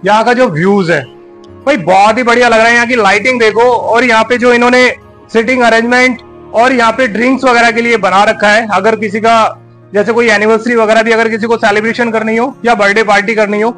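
Male food vlogger, high forceful voice, enthusiastic and repetitive walk-through commentary, music underneath. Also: steady tone, a little fast, poor audio. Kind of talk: casual on-location restaurant tour commentary. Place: inside a busy restaurant and its kitchen. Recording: music under location speech.

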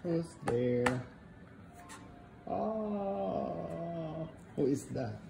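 A woman with a very low, creaky voice chats casually from behind a phone camera. She keeps to brief murmurs and simple questions. The indoor home recording sounds roomy and echoey. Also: expressive, drawn-out words. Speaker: listener filming and questioning a child reader. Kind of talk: casual chat. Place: indoors, home dining room. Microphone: handheld phone, speaker behind the camera. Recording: roomy, noisy handheld home recording with clicks.

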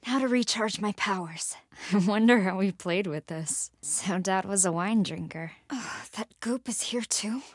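A guarded, sarcastic young American woman in a video game. Her voice is high and bright with a breathy edge. She speaks expressively, as someone troubled by her own powers. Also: exaggerated voice. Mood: determined. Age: young adult.

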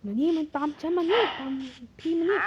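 A woman with a high, light voice narrates a game cartoon and hops from one character's lines to the next. Her delivery is playful and lively throughout. Clear background noise runs under the recording. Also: fairly quick, highs cut off.

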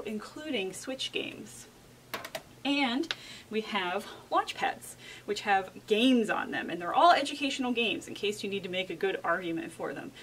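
Enthusiastic woman librarian, higher-pitched voice. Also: fairly bright tone, a little hiss or hum, rough recording. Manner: enthusiastic, emphatic. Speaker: children's librarian. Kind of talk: scripted library tour presentation. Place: indoors in a public library.

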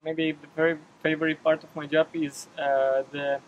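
A young man with a Ukrainian accent speaks hesitantly, fairly high and very even in pitch. Faint outdoor noise sits behind him. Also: normal speed, dull audio. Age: 20s. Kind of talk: on-camera testimonial.

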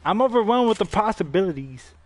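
A young man streaming a game from a room, giving excited, slangy commentary and thanking viewers for donations while his voice goes hoarse. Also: high voice, quick pace. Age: young adult. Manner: hype-driven, slangy, thanking donors between plays. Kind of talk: live gameplay commentary with chat shout-outs. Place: indoor gaming room.

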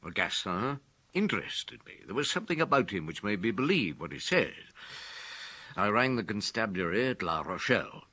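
A measured, expressive chief inspector. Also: male voice, fairly low pitch, breathy edge, exaggerated voice. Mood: calm, businesslike. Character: courteous, shrewd Parisian chief inspector, male. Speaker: actor in a radio drama. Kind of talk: radio drama acting.

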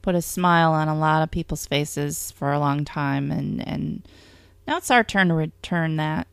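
Female podcast sidekick, notably low voice, very dry recording, casual supportive chat. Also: moderate pace, vocal fry, smooth, no room sound. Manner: agreeable, supportive. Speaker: podcast co-host.

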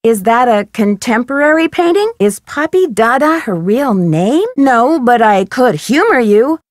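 An actress with an American accent playing a curious, polite, quick-witted young amateur detective in a video game, articulate and inquisitive. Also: female voice, on the high side, big pitch swings, no echo, studio quality. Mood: curious, friendly.

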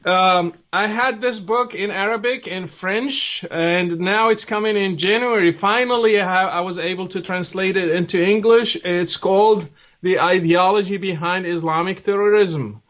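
Man from Morocco, 40s, video-call guest, friendly, hesitant, many fillers, fairly high voice, dull lo-fi audio. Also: normal speed, a bit bright. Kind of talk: interview answers. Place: home office with bookshelves. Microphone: webcam video call.

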